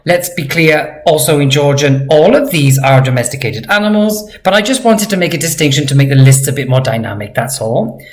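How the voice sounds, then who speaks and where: A man teaching a language lesson, explaining vocabulary patiently and repeating words slowly for practice. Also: fairly high pitch.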